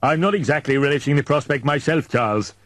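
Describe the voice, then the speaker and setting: A man voicing a fatherly, authoritative male expedition leader in an audiobook, booming and pompous, with wordy formal speech. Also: fast talker, fairly bright tone, no room sound, lightly acted. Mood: breezy, condescending. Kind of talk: audiobook character dialogue.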